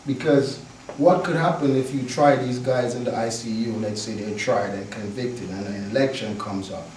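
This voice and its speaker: Measured man asking from the audience. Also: mid-range voice, steady tone, a little mellow, slight background noise. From Jamaica, raised in the United States. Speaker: audience member asking a question. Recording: echoey hall, distant mic.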